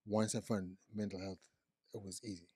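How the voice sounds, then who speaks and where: Deep-voiced man in his forties. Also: level tone, moderate pace, warm tone, slightly rough, no echo, clean studio sound. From Zimbabwe. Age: 40s. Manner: repeats words, frequent yeahs and restarts. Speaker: guest, psychiatric nurse practitioner. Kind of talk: casual interview conversation.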